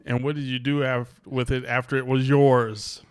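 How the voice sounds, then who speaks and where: Father guest, mid-pitched male voice, playful jokester, hesitant delivery. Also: flat delivery, moderate pace, clear, resonant tone. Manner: playful, joking, hesitant with fillers. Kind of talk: casual interview chat.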